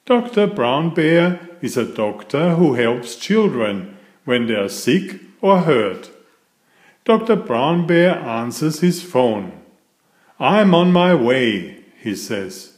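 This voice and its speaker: A man reading a picture book aloud to young children in a deliberate, emphatic storytelling style. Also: mid-range voice, sing-song, reverberant.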